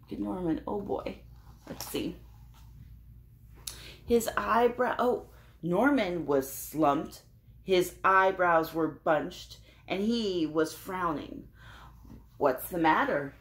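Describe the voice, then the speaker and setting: A woman storyteller reading a picture book aloud to children, conversational and expressive, with asides to young listeners. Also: on the low side, slow pace.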